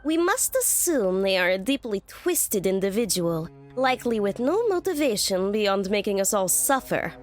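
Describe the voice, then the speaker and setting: A woman's voice: mid-range voice, big pitch swings, normal speed, few pauses, faint music behind, close to an everyday voice. A woman playing a composed, analytical young student profiler in an audio drama, formal and precise.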